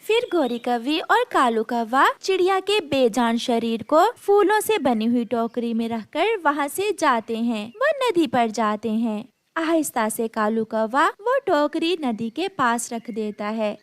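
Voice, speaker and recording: Female storyteller for children's tales: high voice, slow scripted delivery, dry studio-like sound. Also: sing-song, dry recording. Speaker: narrator.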